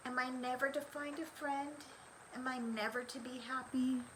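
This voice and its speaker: A woman's voice: sits high, steady tone, normal speed, fairly bright tone, a little hiss or hum, voice pushed up, low-quality recording. An older woman voicing a lonely, self-loathing young male duckling in a storybook, in a plaintive manner. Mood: forlorn.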